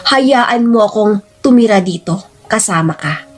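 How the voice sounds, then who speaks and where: A woman's voice: medium pitch, expressive, a little slow. A woman voicing a lovesick single man, a pushy former boyfriend pleading emotionally in a radio-style story drama. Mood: insistent, hurt.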